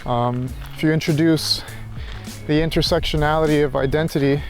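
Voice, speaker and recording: Male walk-and-talk vlogger, slightly raised pitch, reflective and halting, casual delivery, light music underneath. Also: steady tone. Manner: reflective, halting, thinking aloud. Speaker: philosophy tutor vlogger. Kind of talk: casual rambling monologue while walking. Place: outdoors, walking.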